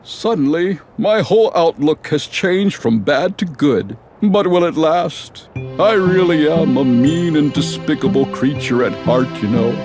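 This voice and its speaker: Casting: elderly cartoon wizard, crusty but soft-hearted, slightly high voice with lively swings, music underneath. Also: male voice, measured pace. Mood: touched, wistful. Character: grumpy old male winter warlock, softening and theatrical. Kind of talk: cartoon game voice acting. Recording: music behind the voice.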